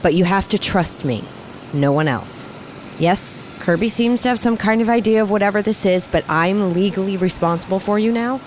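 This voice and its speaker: A skeptical, self-protective woman, played by an actress speaks firmly and curtly at a medium pitch. It is radio-drama acting over faint ambience. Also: moderate pace, slight background noise, close to an everyday voice. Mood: firm, guarded. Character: skeptical, self-protective woman guarding her young charge.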